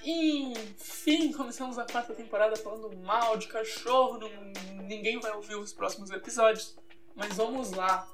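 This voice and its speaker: A woman's voice: on the high side, moderate pace, music in the background. A woman with a Brazilian accent hosting a podcast in a casual, chatty, informal and playful way. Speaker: podcast host.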